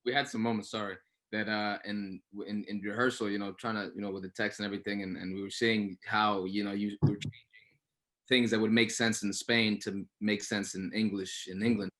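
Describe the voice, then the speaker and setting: Male actor on a discussion panel: fairly deep voice, informal, stop-start delivery with repeated words. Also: flat delivery, a bit bright. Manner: halting, repeating words as he goes. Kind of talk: casual anecdote on a panel.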